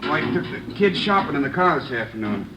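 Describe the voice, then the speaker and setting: A man's voice: fairly high pitch, very animated, a little fast. An American man playing a casual, wry off-duty policeman in an old radio drama. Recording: dull, hissy old radio recording.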